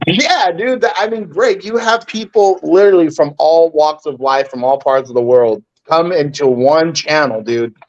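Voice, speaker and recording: A man's voice: fairly high pitch, normal speed, few pauses. A young man chatting casually as a guest, rambling and filling his sentences with "like". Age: young adult. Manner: rambling, fills every gap with like. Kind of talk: casual chat. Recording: dull lo-fi sound.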